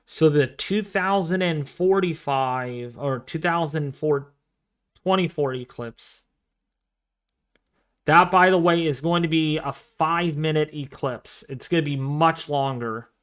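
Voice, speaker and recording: A man in his twenties hosting a casual livestream, talking through an astronomy program with excitable exclamations as he thinks aloud. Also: fairly high pitch, slow, clean tone. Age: 20s. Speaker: livestream host.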